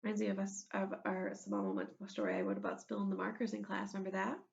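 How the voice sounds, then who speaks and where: A woman's voice: mid-range voice, sing-song, fast talker, creaky, muffled recording. A woman reading a children's storybook aloud as a schoolteacher, expressively, with asides to her young pupils. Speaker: elementary school teacher.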